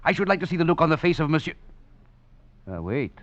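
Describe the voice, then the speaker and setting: An actor playing an escaped French convict, a philosophizing rogue, narrating and talking with theatrical, florid phrasing and wry chuckles in 1940s radio drama style. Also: male voice, on the high side, sing-song, fast, fairly bright tone. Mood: sly, admiring. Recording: muffled old radio recording with music.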